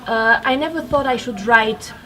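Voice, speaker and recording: Soft-spoken young Romanian woman. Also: a little high, normal speed. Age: young adult. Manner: soft-spoken, hesitant, with fillers and restarts. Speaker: guest writer. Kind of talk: casual interview answers. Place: literary festival venue.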